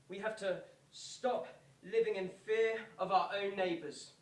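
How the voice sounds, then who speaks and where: Man playing an earnest high-voiced pop star, distant. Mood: urgent, exhorting. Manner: slow, earnest, pleading, with emphatic repetition. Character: earnest adult male pop star addressing stadium football fans. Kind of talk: theatrical public speech, reenacted from quoted address. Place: indoor performance venue, recorded from a distance. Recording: roomy, distant, noisy live theatre recording.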